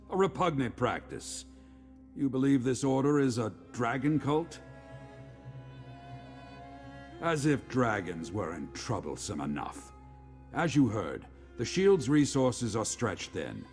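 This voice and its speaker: An actor playing a weary, dutiful middle-aged legion commander in a video game, speaking in a measured, formal, authoritative way. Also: male voice, mid-range voice, gravelly, darkish tone. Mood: stern, businesslike. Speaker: game character, military commander. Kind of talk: scripted video game dialogue.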